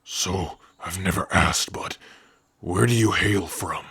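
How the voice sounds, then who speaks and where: Man voicing a deep, husky orc wanderer. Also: slow, pushed and bright, no room sound, heavily acted. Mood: wry, reflective. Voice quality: gruff, raspy. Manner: easygoing, wry, addressing a companion directly. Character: rough, kind-hearted male orc outcast, protective wandering adventurer. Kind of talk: ASMR fantasy roleplay acting.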